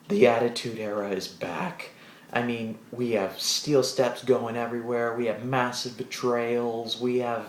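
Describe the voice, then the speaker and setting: Slow-talking deep-voiced man in an echoey room. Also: slight background noise. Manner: rambling, restarts, animated asides. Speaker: wrestling show reviewer. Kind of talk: casual solo video review.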